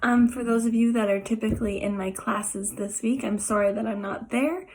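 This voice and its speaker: Young woman, hoarse raspy voice w a cough, kinda high, laid back art therapy lesson at home, lots of ums. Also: normal speed. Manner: relaxed, many ums, gentle instructions.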